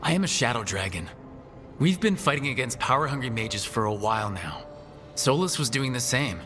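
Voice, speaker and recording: Principled fantasy game hero, earnest, mid-pitched forceful bright voice, soft music behind. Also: male voice, expressive, moderate pace. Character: earnest, principled male rebel hero leading his companions.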